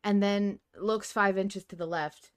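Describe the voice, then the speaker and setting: A woman's voice: mid-range voice, steady tone, a little slow. A woman hosting a podcast, chatting casually about fiction as a fan in a playfully dramatic way. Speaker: podcast host.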